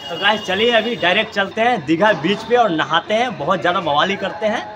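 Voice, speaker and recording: A young man with a hoarse, fairly high voice, chatting excitedly to viewers as a travel vlog host. He talks casually and keeps the energy up, filmed outdoors by a camera a few steps away. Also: quick pace. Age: young adult. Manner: chatty and hyped, addresses viewers as guys.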